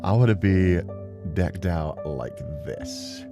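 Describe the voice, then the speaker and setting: A man's voice: sits low, animated, slow pace, over music. A man chatting casually and expressively as a livestream host before playing a game. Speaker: livestream host, gaming streamer. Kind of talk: casual rambling stream chat before a game.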